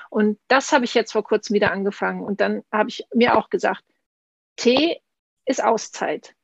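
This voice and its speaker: A woman's voice: fairly high pitch, creaky, no room sound. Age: adult. Sex: woman. Origin: Münsterland. Role: podcast co-host. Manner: reflective storyteller with many fillers. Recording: dry, slightly dull. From Münsterland, Germany. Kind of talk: casual conversational interview.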